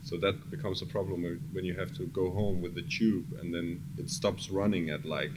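Danish-accented male producer, low voice, relaxed interview chat, clear background noise. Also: normal speed. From Copenhagen, Denmark. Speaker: music producer guest. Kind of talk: casual chat. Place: cramped studio. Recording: noisy studio background.

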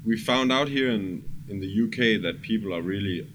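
Danish-accented male producer, low voice, relaxed interview chat, clear background noise. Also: moderate pace. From Copenhagen, Denmark. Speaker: music producer guest. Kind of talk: casual chat. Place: cramped studio. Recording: noisy studio background.

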